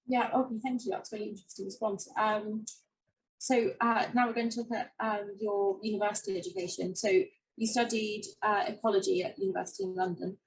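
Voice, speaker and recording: A woman's voice: mid-range voice, flat delivery, brisk, slight background noise, rough recording. A woman interviewing a guest, asking questions politely and a little hesitantly.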